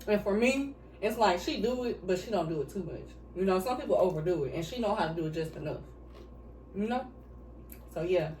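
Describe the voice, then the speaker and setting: A woman's voice: mid-range voice, lively intonation, a little fast, a little mellow. A blunt woman talks casually between bites and swears freely. Her voice rings in a roomy space, picked up from a little way off mic with a faint hiss underneath. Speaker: vlogger answering couple questions. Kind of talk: casual mukbang chat. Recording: echoey room, mic at a distance, faint hiss.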